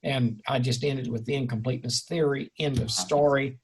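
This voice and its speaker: A man leading an informal seminar discussion as the instructor, effusive and erudite, rambling and joking, with a slightly husky voice. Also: medium pitch, fairly even intonation, normal speed, a little hiss or hum. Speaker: instructor leading an online class session.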